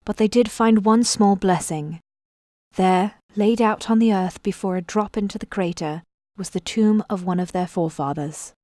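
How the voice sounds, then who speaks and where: A woman's voice: medium pitch, moderate pace, warm tone, no room sound, studio quality, lightly acted. A woman narrating an epic fantasy audio drama as a solemn storyteller, with scripted dramatic delivery.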